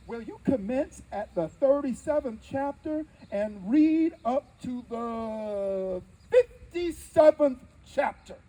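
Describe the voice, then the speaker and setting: A man playing a dignified, wry nineteenth-century preacher, telling his story in emphatic theatrical oratory with deliberate dramatic pauses. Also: very high voice, animated, mellow, exaggerated voice, rough recording. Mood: instructive, wry. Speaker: live history interpreter. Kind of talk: theatrical first-person oratory before an audience.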